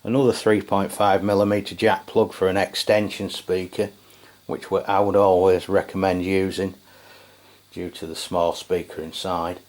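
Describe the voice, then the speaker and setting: Low-pitched man reviewing gear. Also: flat delivery, normal speed, a little mellow. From England. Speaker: radio review channel host. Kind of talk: casual hands-on product review.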